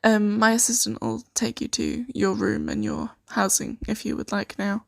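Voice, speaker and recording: A woman improvising an ASMR roleplay as a kind, shy, flustered boss of a secret sanctuary, speaking softly and hesitantly with giggles. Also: mid-range voice, level tone, normal speed, gravelly, dry recording, clean studio sound. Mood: shy, flirtatious. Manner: hesitant, giggly, soft-spoken.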